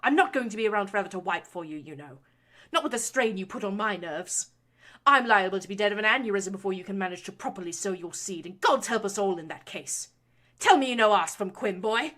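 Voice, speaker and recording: A woman voicing a domineering, scornful noble mother who scolds her son in a shrill, loud tirade. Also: lively intonation, fairly quick, lightly acted. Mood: scolding. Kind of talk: audiobook reading of fan fiction.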